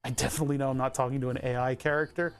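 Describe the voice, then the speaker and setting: A man's voice: medium pitch, flat delivery, racing, smooth. A man chatting casually on a live stream about his setup. He rambles easily, chuckles often, and talks into a lapel mic in his workshop. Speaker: livestreamer.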